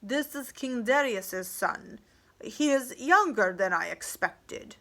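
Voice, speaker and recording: A woman acts out a male desert caravan leader from an adventure storybook. He has a foreign accent and a calm, mercenary, matter-of-fact manner, voiced somewhat high. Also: slow, heavily acted. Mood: appraising.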